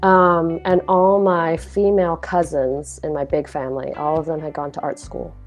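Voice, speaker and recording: Young woman from Hong Kong, low-pitched, relaxed and self-deprecating, faint music behind. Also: normal speed. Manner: reflective, self-deprecating, loose with fillers. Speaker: guest artist. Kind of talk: casual conversational interview.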